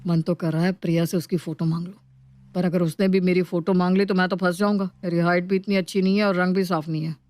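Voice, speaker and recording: A woman's voice: fairly low pitch, level tone, fast, a little mellow, no echo, natural voice. A woman voicing an irritable, lovestruck young male office worker in casual, slangy Hinglish for a story. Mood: eager, nervous. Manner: casual Hinglish slang, grumbling or musing to himself.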